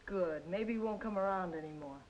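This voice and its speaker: A woman's voice: medium pitch, fairly quick, lo-fi sound, a little hiss or hum, close to an everyday voice. An actress playing a bitter, proud, world-weary woman in an old film noir radio drama, cool, terse and cutting. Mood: bitter, resigned.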